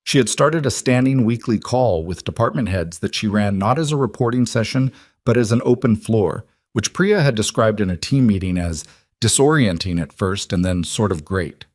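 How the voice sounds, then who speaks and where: A man's voice: lowish voice, lively intonation, normal speed, a little mellow, dry recording, clean studio sound. A man reading a scripted story as its narrator.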